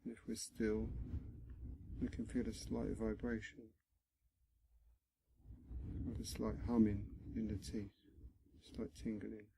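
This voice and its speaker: A man guiding a body scan meditation in a gentle, instructional manner, pausing often between short phrases, with a low, husky, creaky voice. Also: little pitch movement, slight background noise, poor audio. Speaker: meditation guide.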